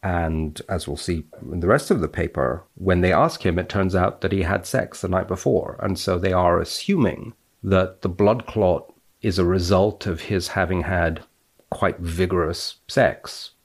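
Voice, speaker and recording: Male scientist guest, low register, precise and measured when explaining or reading a paper aloud. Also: breathy edge.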